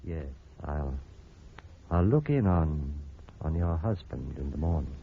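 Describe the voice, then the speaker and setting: Casting: authoritative doctor and spurned suitor, vintage radio play, very low voice, muffled hissy recording. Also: male voice, lively intonation, takes it slowly, gravelly, full character voice. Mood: professional, soothing. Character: bitter, controlled eye surgeon, a spurned former suitor. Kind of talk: old-time radio drama acting.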